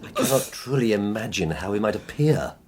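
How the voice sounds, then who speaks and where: An actor playing a sober, attentive gentleman listener in an audiobook drama, measured and polite, with a husky voice. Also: fairly low pitch, lively intonation, a little hiss or hum, close to an everyday voice.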